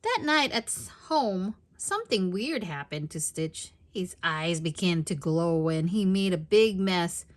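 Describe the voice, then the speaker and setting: Slow-paced woman reading to children. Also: fairly low pitch. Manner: expressive, addressing young children. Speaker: storytime host and narrator. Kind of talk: children's read-aloud narration.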